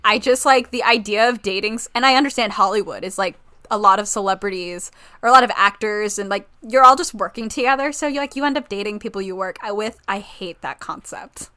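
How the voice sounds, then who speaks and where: A woman's voice: medium pitch, fairly quick, pushed and bright, clean tone. A woman podcast co-host chatting casually about a TV show in an informal, rambling way, often correcting herself.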